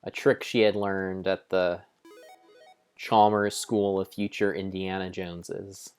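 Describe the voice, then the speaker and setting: A man giving an improvised dramatic narration of a game like an adventure storybook, with deliberate, drawn-out phrasing. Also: sits low, level tone, darkish tone, smooth, faint music behind.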